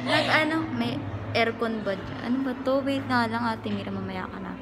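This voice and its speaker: A woman's voice: on the high side, very animated, slow. A young woman with a Filipino accent chatting casually and giggly as a vlogger, full of informal exclamations. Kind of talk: casual chat. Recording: very noisy, echoey crowded public space.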